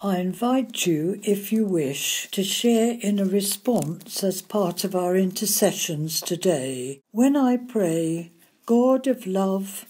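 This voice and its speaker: A woman reading intercessory prayers for a church service in a measured, reverent, deliberate manner. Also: mid-range voice, warm tone, slightly rough. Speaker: lay prayer leader.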